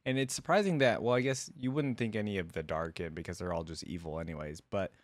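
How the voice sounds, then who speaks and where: A man's voice: deep voice, quick pace, no echo. A man hosting a reaction video in casual commentary, often repeating words and quoting back what was said.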